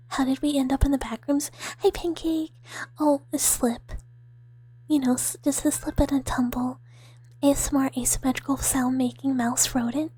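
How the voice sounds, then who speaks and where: A woman streaming ASMR chat in a very breathy, half-whispered voice that sits slightly high. She rambles playfully with her viewers and slips into mock threats, keeping it soft throughout. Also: level tone, normal speed, pauses often, no room sound. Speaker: VTuber streamer playing a mouse character.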